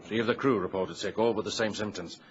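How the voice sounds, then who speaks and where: An actor playing a dutiful, uneasy ship's mate in a radio drama, reporting in clipped, deferential phrases. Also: male voice, on the low side, fast talker, natural voice. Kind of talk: old-time radio drama acting. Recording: muffled lo-fi radio recording with hiss.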